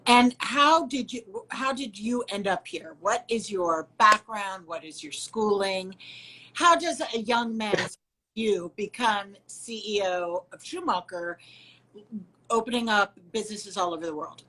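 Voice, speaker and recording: A woman's voice: mid-range voice, animated, a little slow, sharp tone, rough recording. Curious woman hosting a video-call interview. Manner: curious, asks follow-up questions. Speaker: host, interviewer.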